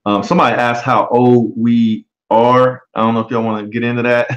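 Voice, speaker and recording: A man in his forties hosting a casual livestream chat, relaxed and often pausing on um. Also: medium pitch. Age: 40s. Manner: relaxed, frequent um fillers. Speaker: livestream host. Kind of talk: casual live-stream chat.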